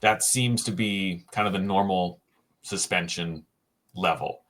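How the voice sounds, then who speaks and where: Low-voiced man musing over hockey. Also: steady tone, fairly bright tone. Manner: thinks aloud with frequent "um" pauses and self-restarts. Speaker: co-host, hockey writer. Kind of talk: casual sports podcast chat.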